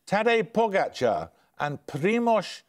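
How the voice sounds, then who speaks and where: An older man, the host of a studio quiz show, reads each question crisply and evenly. His voice sits a little high for a man, and he sounds dryly amused when teams get it wrong. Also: big pitch swings, slow. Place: television studio.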